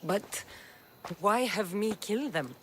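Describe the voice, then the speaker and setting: An actress playing a determined, defiant Spartan warrior daughter in a video game, speaking directly and resolutely. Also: female voice, mid-range voice, expressive, slow, long reverb tail, a little hiss or hum. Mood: guarded, questioning. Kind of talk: dramatic video game acting.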